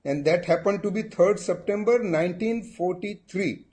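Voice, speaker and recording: An older Indian man in his seventies, with a notably high voice for a man, talks in an animated, storytelling way. He is far from the mic, in an echoey room. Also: moderate pace. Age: 70s.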